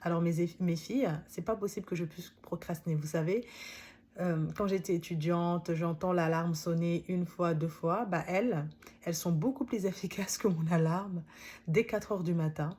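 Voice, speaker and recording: Husky, mellow-voiced woman talking to camera. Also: on the low side, fairly even intonation, moderate pace. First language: not French. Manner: chatty, addresses viewers directly, laughs often. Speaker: book summary presenter. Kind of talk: casual talk to camera.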